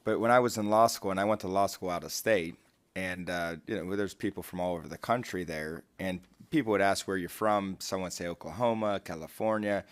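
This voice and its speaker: A man from the Pittsburgh area, a legislator at a hearing, asking questions and telling a story in a halting way. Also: low-pitched. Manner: halting, repeats words when starting phrases.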